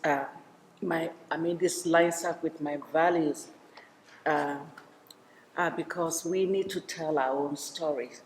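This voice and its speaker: Woman, low register, sincere community leader, reverberant hall recording. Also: darkish tone. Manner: earnest, measured, with frequent fillers. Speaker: executive director of a community organization. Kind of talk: documentary interview. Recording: long reverb with faint noise.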